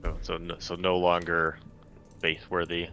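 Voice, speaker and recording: A man's voice: low voice, completely flat delivery, measured pace, bright, forceful tone, clean tone. A man playing a tabletop roleplaying game, chatting casually and asking tentative, informal questions.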